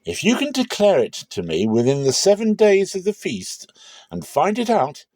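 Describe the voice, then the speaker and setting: A man's voice: medium pitch, a little mellow, dry recording, voice pushed up. Brash, emphatic young strongman, acted by a man.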